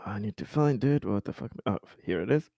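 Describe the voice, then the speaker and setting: A man's voice: on the low side, expressive, fairly quick, creaky, warm tone, dry recording, clean studio sound. A man playing a tabletop roleplaying game, chatting partly in character with slightly formal phrasing. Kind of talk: casual in-character game chat.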